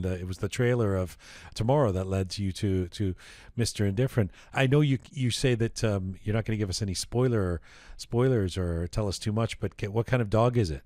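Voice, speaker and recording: A man's voice: lowish voice, dry recording, clean studio sound. A man hosting a podcast, asking questions in an effusive, chatty way and often repeating his words. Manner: effusive, warm praise, repeats himself. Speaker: podcast host, interviewer. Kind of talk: conversational interview.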